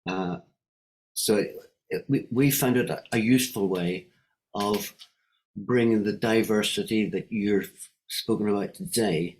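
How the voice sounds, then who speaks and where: A man's voice: fairly low pitch, poor audio. A middle-aged man with a Northern Irish accent, speaking on a video call in a friendly, hesitant way. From Northern Ireland. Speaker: guest speaker from a Welsh wellbeing group. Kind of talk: online meeting contribution.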